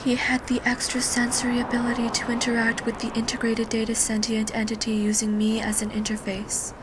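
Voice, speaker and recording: A woman playing a quiet, expressionless teenage girl in an audio drama, reciting her lines plainly. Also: fairly high pitch, monotone, brisk, close to an everyday voice. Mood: emotionless. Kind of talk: fan audio drama acting. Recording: clear background noise and ambience.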